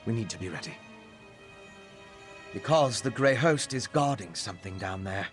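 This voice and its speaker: Low-voiced earnest male scholar ally acted out. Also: animated, moderate pace, faint music behind, lightly acted. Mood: intent, urgent. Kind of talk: video game quest dialogue.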